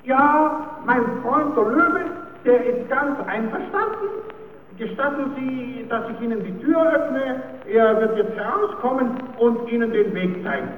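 A noisy old radio recording of a cheeky clown trickster with a very high, piping voice. He is theatrical and comic, like a puppet-show rascal in a radio play. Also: expressive, unhurried, reverberant, big-room sound, recorded from a distance, narrow, tinny audio. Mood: mock-polite taunting. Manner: theatrical, talks to himself.

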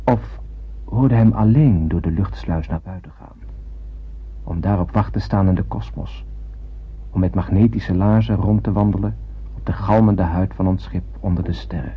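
An actor playing a brooding young spaceship crewman, narrating in a solemn, poetic and measured way in a radio drama. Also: low-pitched, breathy edge, exaggerated voice. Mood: wondering. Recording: muffled, hissy old radio drama recording.

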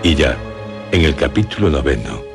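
A male narrator introduces a radio drama from a script. His voice is exceptionally deep and sits under loud music. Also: big pitch swings, normal speed, vocal fry.

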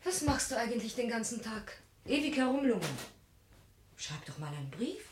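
A woman playing a nagging, suspicious mother of a teenage girl in a 1970s German radio play, with a husky voice. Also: on the high side, very animated, moderate pace, slight background noise.

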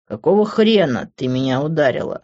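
A man's voice: fairly high pitch, little pitch movement, normal speed, highs cut off, natural voice. A man playing an emotional, coarse, hot-headed young man in love, in an audiobook. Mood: indignant.

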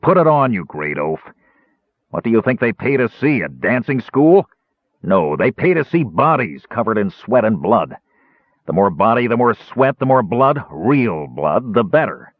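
A man's voice: mid-range voice, very animated, moderate pace, breathy edge, no room sound, phone-quality sound. A man voicing a coarse, bullying dwarf taskmaster in a fantasy story, jeering and cackling with menace. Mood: menacing scowl.

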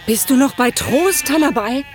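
A distressed herder's wife in a radio play. Her high, swinging voice pleads urgently over clear music. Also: expressive, normal speed. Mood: alarmed, pleading. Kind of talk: radio drama acting.

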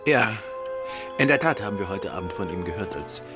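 An actor playing a composed, urbane gentleman detective who stays alert, in a German radio play. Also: sits low, expressive, fairly quick, music in the background. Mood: composed, urgent.

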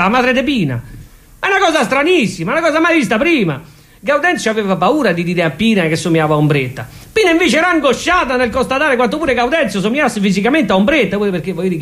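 A man with a high voice and a Roman accent tells absurd comic stories theatrically. His pitch leaps around and his phrasing is colloquial and animated. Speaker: comic monologuist.

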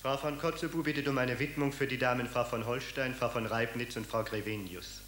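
A man's voice: mid-range voice, fairly even intonation, fairly quick, a bit bright, close to an everyday voice. An actor playing a male house servant who formally announces a visitor in a radio drama. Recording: roomy, faint hum.

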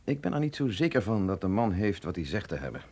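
A man playing an urbane, skeptical physiologist in a radio drama, speaking with measured, ironic eloquence. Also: fairly low pitch, very animated, a little mellow, husky. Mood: thoughtful, doubtful. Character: wry, skeptical male physiologist, urbane and philosophical. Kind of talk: Dutch radio drama acting.

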